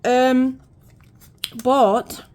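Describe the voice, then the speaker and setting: A woman's voice: high voice, steady tone, very slow, a little mellow, pitched above the performer's own voice. A woman putting on a chatty, homely persona with a mock Irish or Scottish lilt, rambling with folksy phrases and many fillers. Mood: sad, rambling. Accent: put-on Irish or Scottish. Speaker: YouTuber. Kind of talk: casual chat.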